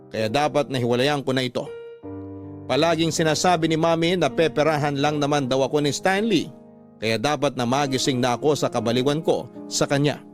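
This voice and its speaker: A man reading a scripted, sentimental first-person story as narrator of a Tagalog radio drama, in a measured manner. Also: a little high, lightly acted.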